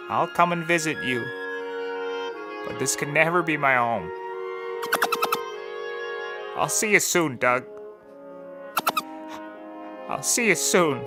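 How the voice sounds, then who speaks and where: An actor playing a hapless, sentimental private investigator in a comedy audio drama, earnest and melodramatic. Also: male voice, mid-range voice.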